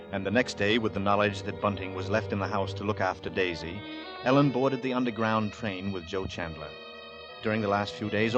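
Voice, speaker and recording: A man narrating a horror tale as a grave, suspenseful storyteller in measured, literary 1940s radio style. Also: on the low side, natural voice.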